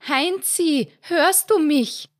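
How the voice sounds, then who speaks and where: Woman doing an old Austrian mum, tender but firm, fussy, hushed to raised. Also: medium pitch, animated, unhurried, no echo, clean studio sound. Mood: fussing concern. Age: older. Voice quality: soothing, loving sternness. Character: older mother, tender but firm, fussing.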